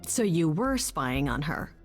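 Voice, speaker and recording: A woman's voice: sits low, sing-song, unhurried, faint music behind. An actress playing a brash, distrustful female sidekick in a video game, with punchy, colloquial, sarcastic delivery. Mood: accusing, sarcastic.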